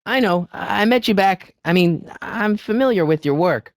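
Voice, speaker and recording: Animated, high-pitched teenage boy hero. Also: no echo, clean studio sound. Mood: nervous, hesitant. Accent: American. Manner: hesitant, stammering, eager, fast-talking persuader. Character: earnest, anxious teenage boy hero of a time-travel adventure. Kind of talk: video game character dialogue.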